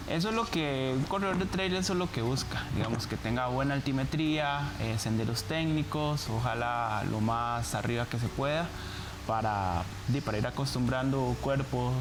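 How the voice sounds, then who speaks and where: A Costa Rican man, a trail runner guest in a studio interview, speaking in a relaxed way with hesitant fillers. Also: medium pitch, moderate pace, background noise. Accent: Costa Rican Spanish.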